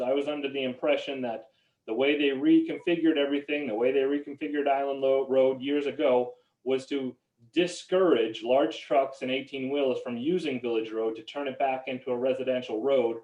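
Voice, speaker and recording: Man, project engineer, measured explanations, lots of ums, echoey, far from the mic. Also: medium pitch, darkish tone, dull audio. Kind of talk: explaining a road design at a public meeting. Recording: roomy, distant sound.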